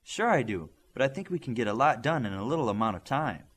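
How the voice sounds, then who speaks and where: A man voicing a practical adult female scientist in an audiobook, with a measured, precise, businesslike manner. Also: medium pitch, sing-song, fairly bright tone, breathy edge.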